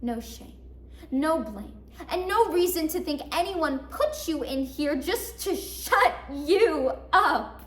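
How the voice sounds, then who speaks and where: In an echoey room, a young woman performs a bitter woman confined in a mental hospital. Her voice is high and slow, delivered as a stage monologue. She is sardonic and theatrical, moving between hushed confiding and loud emphasis. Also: expressive, heavily acted. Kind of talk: dramatic monologue, competition interpretation. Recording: roomy echo, faint noise, distant mic.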